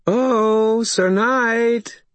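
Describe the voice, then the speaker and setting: A man's voice: extremely high pitch, steady tone, extremely slow, dry recording, pitched above the performer's own voice, full character voice. A man voicing a taunting, villainous fairy lady in an audiobook, with a mocking, sing-song manner. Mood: mocking menace.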